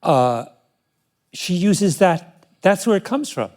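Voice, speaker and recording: A man's voice: high voice, big pitch swings, slow, a little mellow. A man hosting a podcast, who grew up in Jamaica, asking curious questions and laughing as he talks. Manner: curious, amused, breaks into laughter. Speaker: podcast host. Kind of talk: conversational podcast interview.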